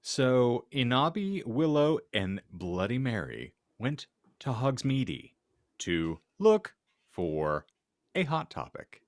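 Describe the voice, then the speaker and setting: A man hosting a podcast, wryly bantering and reading fan fiction aloud with halting, mock-serious delivery. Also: mid-range voice, big pitch swings, dry recording, clean studio sound. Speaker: podcast host.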